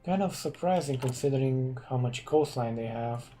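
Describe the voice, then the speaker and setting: A Romanian man, a non-native English speaker, casually commenting on a strategy game and thinking aloud with hums and pauses. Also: mid-range voice, warm tone, faint music behind. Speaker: gaming commentator.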